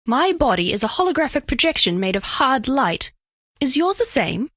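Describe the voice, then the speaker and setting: A woman's voice: sits high, very animated, moderate pace, a bit bright, no room sound, studio quality, full character voice. A woman voicing a curious female alien crystal being for a dating game, speaking formally with stilted, precise, clinical phrasing and awkward flirtation. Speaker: video game voice actress. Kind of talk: scripted dating-game character voice lines.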